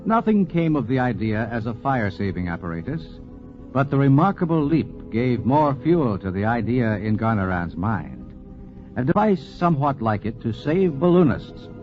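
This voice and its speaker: A muffled vintage radio recording of a man hosting and narrating. His formal announcer delivery swings dramatically in pitch over clear background music. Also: sing-song, normal speed. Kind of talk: scripted 1940s radio narration.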